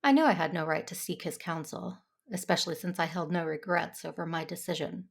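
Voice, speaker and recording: Jedi heroine female actor: lowish voice, earnest, conflicted first-person audio drama narration. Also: fairly even intonation, a little fast, gravelly. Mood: reflective. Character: troubled, dutiful Jedi woman, earnest and conflicted.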